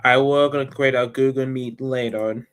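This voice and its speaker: Young male streamer, mid pitch, smooth tone, loose rambling delivery. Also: fairly even intonation, moderate pace. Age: young adult. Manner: rambling, restarts sentences often. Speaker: livestreamer. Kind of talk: casual livestream chat.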